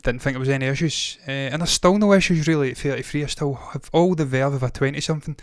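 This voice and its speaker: A man's voice: medium pitch, quick pace, no echo, clean studio sound. A man in his thirties hosting a movie news show, talking casually, with rambling opinionated asides. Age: 30s.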